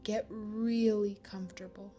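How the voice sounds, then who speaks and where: A woman leading a guided meditation in a gentle, soothing manner, with pauses between phrases. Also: mid-range voice, level tone, soft background music. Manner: slow, gentle, soothing delivery with long pauses.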